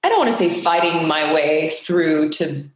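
Echoey, lowish-voiced woman telling her story. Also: animated, normal speed, a little hiss or hum. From Geneva, Switzerland. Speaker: podcast guest, actress. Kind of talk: casual personal storytelling in an interview. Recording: very echoey room.

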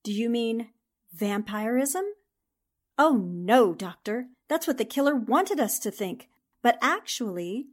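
An actress playing a brave, sharp young newspaper reporter in a 1940s-style radio mystery, speaking in an earnest, theatrical way. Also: female voice, a little high, animated, slow. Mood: earnest, determined.

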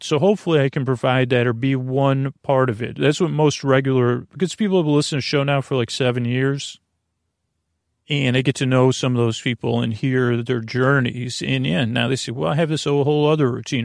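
A man hosting a bedtime podcast in a creaky voice, meandering and repetitive, halting and wandering off on tangents. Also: medium pitch, no echo, studio quality. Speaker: podcast host. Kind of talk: rambling bedtime podcast monologue.

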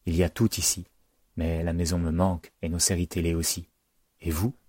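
A man voicing a tender, easily delighted mother who speaks softly and affectionately in an audiobook. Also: deep voice, flat delivery, brisk, husky, no room sound, clean studio sound. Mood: tender, wistful. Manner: soft, affectionate.

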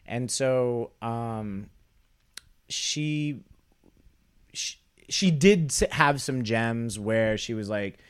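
A man's voice: mid-range voice, very slow, long pauses, clear, resonant tone. A young man, a comedian, telling a rambling, wry story in casual podcast chat. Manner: rambling, wry, trails off into quoted asides. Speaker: comedian guest.

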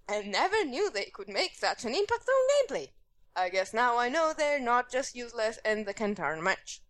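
A woman's voice: a little high, fairly bright tone. A woman from Europe co-hosting a podcast, reading listener emails aloud and joking around in casual chat. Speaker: podcast co-host.

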